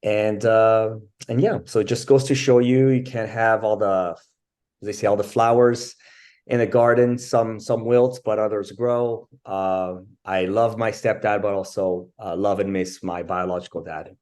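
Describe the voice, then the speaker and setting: A man in his forties from France, a guest telling his life story in a casual interview, pausing often with fillers. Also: lowish voice, steady tone, a little mellow. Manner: thoughtful, many pauses and fillers. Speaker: interview guest, bench press champion.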